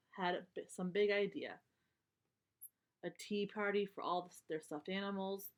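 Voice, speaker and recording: A woman with a mid-range voice reads a picture book aloud to children. She narrates in an explanatory way, pausing for simple asides to her young listeners. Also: medium pitch. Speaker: children's story reader.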